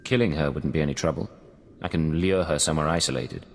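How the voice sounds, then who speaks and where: A deep-voiced, cold male operative in a game cut-scene, answering in short, deadpan lines. His voice carries a long reverb. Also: quick pace. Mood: curt. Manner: curt, deadpan. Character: cold, terse male pirate agent. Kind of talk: video game voice acting.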